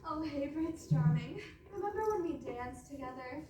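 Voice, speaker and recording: A woman's voice: sits high, expressive, normal speed, dark tone. A woman playing a cool, scheming young fairy-tale princess in comic readers theatre, flippant and theatrical. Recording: roomy, distant stage recording, faint noise.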